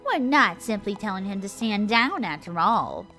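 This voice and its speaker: A sly young filly plotting as a royal advisor in a storybook reading, as a man puts her on. Her raised voice swoops and lilts, and she speaks with measured calm. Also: high voice, soft background music, pitched above the performer's own voice, full character voice. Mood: sly persuasive.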